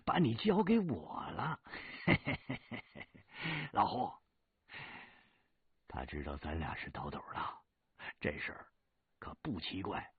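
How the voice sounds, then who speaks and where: Brash fat tomb raider, loud and bullying, husky high voice, put on by a man, tinny lo-fi sound. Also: huge pitch swings, a little slow, long pauses, dry recording, full character voice. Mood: gloating. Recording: tinny lo-fi recording.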